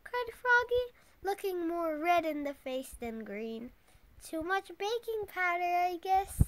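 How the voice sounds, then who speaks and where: Young girl, very high voice, slow storybook reading, faint background noise. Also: sing-song, a bit bright. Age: child. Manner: stumbles over words while reading. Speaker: storytime host reading aloud to teddy bears. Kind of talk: expressive picture book read-aloud.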